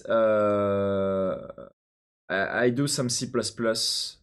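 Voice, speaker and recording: A young man from Belgium with a French accent, thinking out loud while coding, hesitant with many pauses and restarts. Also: fairly low pitch, steady tone, clean tone. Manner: hesitant, many ums and restarts, answers chat questions. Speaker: programmer live-streaming his coding.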